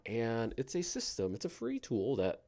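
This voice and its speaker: A man in his twenties with a soothing voice, hosting a sleep podcast in a slow, meandering monologue with frequent fillers. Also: mid-range voice, wildly swooping pitch, darkish tone. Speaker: podcast host.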